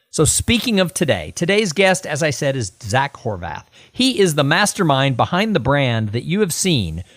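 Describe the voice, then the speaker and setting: A man's voice: fairly high pitch, no room sound. Middle-aged male podcast host, enthusiastic and chatty, close dry mic. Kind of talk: podcast interview with sponsor read.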